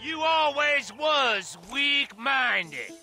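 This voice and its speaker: Shrill, jeering outlaw. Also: male voice, lively intonation, very slow, sharp tone, soft background music, full character voice. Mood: scornful, furious. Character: bitter, jeering outlaw out for revenge. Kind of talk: dramatic video game cutscene acting.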